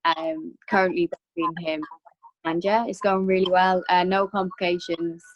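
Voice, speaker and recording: A woman's voice: on the low side, level tone, normal speed, lo-fi sound. A woman in her early twenties speaking casually on a video call as a guest, often trailing off. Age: 20s. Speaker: guest mother.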